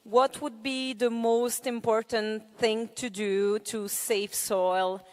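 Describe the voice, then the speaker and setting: A woman from Belgium and Hungary poses a question from the floor, slowly and hesitantly, searching for her words. Her mid-pitched voice rings with long hall reverb. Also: fairly even intonation. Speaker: audience member asking a question. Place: large hall with live audience.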